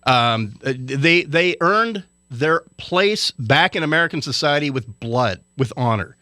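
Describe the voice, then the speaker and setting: A man's voice: a little high, lively intonation, slow pace, bright, forceful tone, no echo, clean studio sound. A man from Hawaii delivering an indignant, lecturing radio commentary rant.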